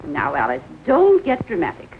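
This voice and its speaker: An actress playing a stern, stubborn, domineering middle-aged aunt in a 1940s melodramatic film style. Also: female voice, low voice, huge pitch swings, normal speed. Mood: stern, indignant. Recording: tinny, noisy old film soundtrack.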